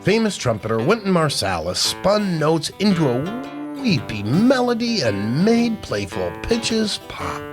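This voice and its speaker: Animated man reading a storybook to children. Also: mid-range voice. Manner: expressive, drawn-out emphasis on key words. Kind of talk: animated picture-book read-aloud for children. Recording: music playing behind the voice. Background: guitar music.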